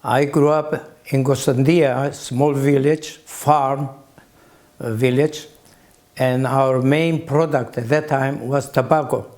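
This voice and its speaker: A man in his eighties with a Greek accent, recalling his early life as an interview guest in halting phrases with long pauses. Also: medium pitch, steady tone, a little mellow. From Greece. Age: 80s. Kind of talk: slow reflective recollection.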